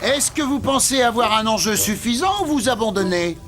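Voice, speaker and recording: A man's voice: very high voice, animated, moderate pace, over music. A man voicing a vain, indignant young male scarab beetle in a cartoon, with a boastful, whiny, theatrical delivery. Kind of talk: animated cartoon dialogue.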